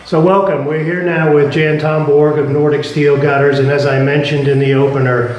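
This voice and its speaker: A man, a home builder, hosting and interviewing on site in a measured way with frequent fillers. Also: on the high side, level tone, a little mellow. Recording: echoey, with noticeable background noise.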